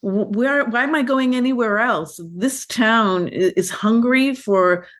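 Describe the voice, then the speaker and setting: A woman filmmaker being interviewed, telling stories about her work in a lively, chatty way with many fillers and restarts. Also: on the high side, measured pace. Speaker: filmmaker guest. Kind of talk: conversational interview.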